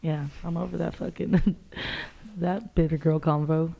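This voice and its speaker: A young woman co-hosting a casual podcast chat, blunt, profane and quick to laugh. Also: low voice, steady tone, vocal fry, dark tone. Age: young adult. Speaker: podcast co-host.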